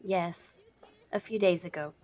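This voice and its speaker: An actress playing a weary, guarded widow in an audio drama, plain-spoken, hesitant and stubborn. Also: female voice, fairly low pitch, a little slow, darkish tone, slight background noise, natural voice. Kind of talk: dramatic audio fiction acting.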